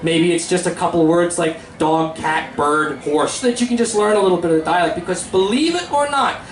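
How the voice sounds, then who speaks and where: A man's voice: sits high, fairly quick, reverberant, a little hiss or hum, low-quality recording. A man hosting a live festival talk in a seminar tent, chatty and joking as he plugs his videos. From Pennsylvania, USA. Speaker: host and teacher.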